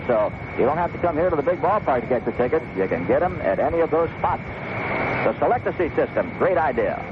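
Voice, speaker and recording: High-voiced man calling baseball on noisy old radio. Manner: unhurried, conversational, descriptive. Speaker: baseball play-by-play announcer. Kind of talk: live radio sports commentary. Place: outdoor stadium broadcast booth. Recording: dull, very noisy 1970s AM radio broadcast. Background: loud steady ambient noise.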